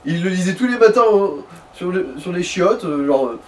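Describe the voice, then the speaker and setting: A man's voice: high voice, lively intonation, normal speed, darkish tone. A man co-commentating a game in casual joking chat, wryly riffing on absurd ideas. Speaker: let's play co-commentator. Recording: distant microphone, faint noise.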